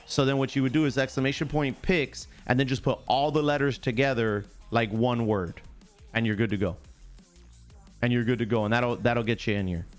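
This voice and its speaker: A man's voice: mid-range voice, fairly even intonation, moderate pace, faint music behind. A man hosting a live sports stream, chatting casually in chummy slang, greeting viewers by name and repeating himself.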